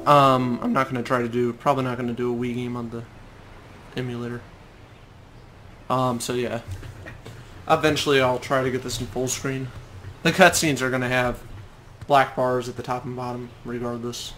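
A man's voice: mid-range voice, flat delivery, normal speed, long pauses, a bit bright, a little hiss or hum. A young man hosting a let's play, rambling and apologetic in casual gameplay commentary. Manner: rambling, self-conscious, apologetic. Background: game music.

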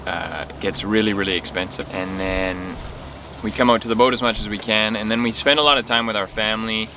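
A young man co-hosting a sailing vlog, calmly explaining things to camera with dry little quips. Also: lowish voice, brisk, a little hiss or hum. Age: young adult. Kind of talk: casual chat to camera. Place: outdoors in a sailboat cockpit at anchor.

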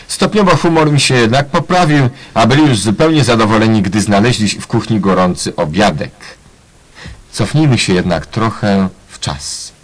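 Male narrator, low husky voice, deadpan ironic storytelling. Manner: mock-solemn, tongue-in-cheek. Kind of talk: scripted ironic radio play narration.

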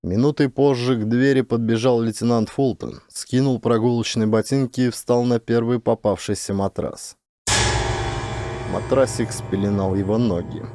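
A man's voice: mid-range voice, fairly even intonation, normal speed, mellow, soft background music. A man narrating an audiobook as an actor, in a dramatic scripted style.

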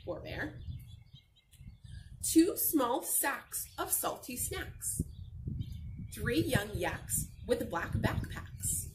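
A young adult woman reading a picture book to children in an expressive, encouraging, sing-song way, pausing to ask questions. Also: a little high. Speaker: teacher reading aloud to children. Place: outdoors on a garden patio. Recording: noisy outdoor recording with birdsong.

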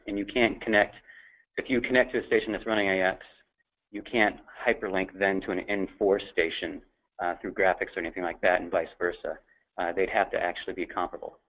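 A man presenting a technical webinar, explaining things in an informal, matter-of-fact way. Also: on the low side. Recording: thin phone-like line.

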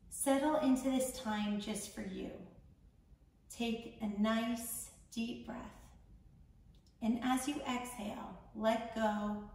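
Female meditation guide, higher-pitched with some creak, gentle and unhurried, recorded in a very reverberant room. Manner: soothing, gentle, measured with long pauses. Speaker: meditation guide, founder of a yoga charity. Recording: echoey room, distant mic, faint noise.

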